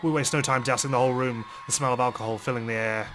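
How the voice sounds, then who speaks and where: A man's voice: medium pitch, steady tone, quick pace, over music. A man reading visual novel text aloud as dramatic first-person narration. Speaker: let's play host reading game text aloud.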